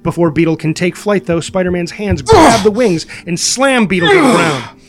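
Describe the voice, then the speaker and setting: A man's voice: high-pitched, sing-song, normal speed, soft background music. A man reading scripted stage directions and scene headings as the narrator of a screenplay.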